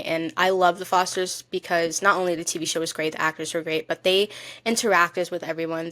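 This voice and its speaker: A woman's voice: fairly low pitch, fairly bright tone, no echo. Gushing young woman, close dry sound. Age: young adult. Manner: gushing, emphatic fan talk. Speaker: podcast co-host. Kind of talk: casual chat.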